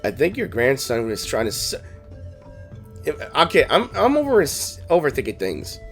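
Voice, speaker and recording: A man's voice: mid-range voice, huge pitch swings, moderate pace, creaky, fairly bright tone. A man giving casual, playful game playthrough commentary, thinking aloud and reacting, with game music behind. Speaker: gaming streamer.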